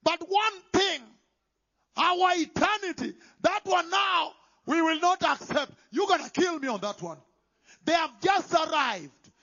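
High-voiced preacher, slow and emphatic. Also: male voice, lively intonation. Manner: emphatic, swings from shouting to hushed whispers. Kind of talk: sermon. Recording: dull lo-fi sound.

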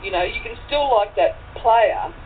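Aussie woman explaining stuff slowly, lots of um and you know, phone-y sound. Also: on the high side, animated. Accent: Australian. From Australia. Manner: explains slowly, many fillers and repeats. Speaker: guest. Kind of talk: casual interview chat. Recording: tinny phone-like line, faint noise.

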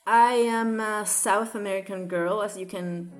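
A woman's voice: medium pitch, steady tone, a little slow, soft background music. A woman from Chile with a Chilean accent, reading a survey aloud and then telling her own story with ums and uhs.

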